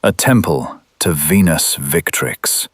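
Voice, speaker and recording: An actor playing a cynical, cruel, scheming Roman patrician in an audio drama, with a mocking, theatrical delivery. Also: male voice, rumbling low, slow pace, slight background noise. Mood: reverent ambition.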